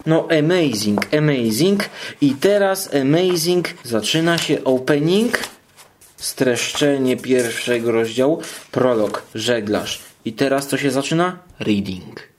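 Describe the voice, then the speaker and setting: Guy rambling super slowly, playful mock commentary, bit of noise on the recording. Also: medium pitch, animated. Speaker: podcast guest contributor. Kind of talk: casual monologue, narrating while handling things.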